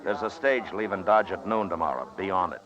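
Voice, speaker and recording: An actor playing a stern, dry-witted frontier marshal in a terse, authoritative 1950s western radio style. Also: male voice, sits low, lively intonation, a little fast, a bit bright. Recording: dull, noisy vintage radio recording.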